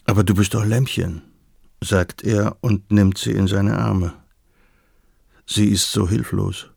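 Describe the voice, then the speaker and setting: A tender male narrator reads literature in a radio play. He is low and husky, very slow, and recorded dry. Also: fairly even intonation, a little mellow, no echo, heavily acted.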